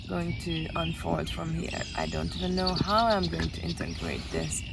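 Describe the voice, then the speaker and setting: A woman from Hungary speaking slowly and gently, pausing often as she reflects, recorded outdoors by a lake. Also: fairly low pitch, noisy background. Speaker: retreat guest sharing her experience. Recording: outdoor audio with clear birdsong. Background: birdsong.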